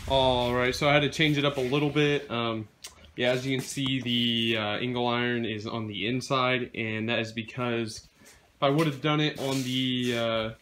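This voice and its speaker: A man's voice: mid-range voice, fairly even intonation, moderate pace, fairly bright tone. A young man casually narrating a DIY build in his home garage, talking with many ums and uhs while he films on a handheld phone. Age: young adult. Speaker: DIY vlogger.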